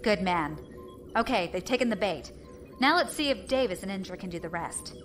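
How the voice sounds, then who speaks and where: A woman playing a decisive young pirate clan leader in an audio drama, with a brisk, commanding delivery. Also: medium pitch, lively intonation, over music, close to an everyday voice. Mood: eager, resolute.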